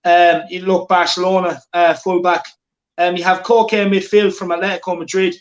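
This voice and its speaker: A man's voice: high voice, level tone, moderate pace, roomy sound, dull audio. A man hosting a football watchalong, chatting casually and reading out viewer comments. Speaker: watchalong host.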